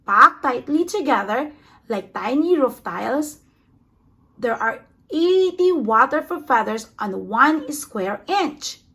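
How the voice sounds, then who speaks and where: High-pitched, expressive woman reading a children's book. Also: slow pace, vocal fry. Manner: expressive, acts out sound words with emphasis. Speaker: storybook reader. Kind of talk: read-aloud children's nonfiction picture book.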